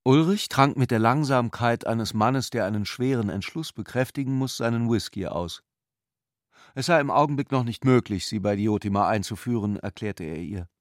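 Guy reading a novel for radio, mid-pitched voice, measured, close dry studio mic. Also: no echo. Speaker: narrator of a radio literary adaptation. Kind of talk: literary scripted narration.

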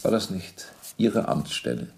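Very deep, creaky-voiced interrogator. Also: male voice, sing-song, measured pace, a little mellow, slight background noise, exaggerated voice. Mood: probing. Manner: precise, probing, pointed questions. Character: persistent, controlled police captain interrogating a war criminal. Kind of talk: German radio play, documentary interrogation.

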